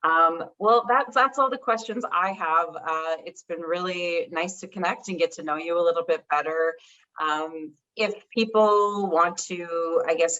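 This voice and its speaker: A woman's voice: lowish voice, pushed and bright, dull audio. A woman hosting an interview, friendly and chatty, with many "you know" and "um" fillers. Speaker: interview host for a health charity. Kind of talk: video call interview.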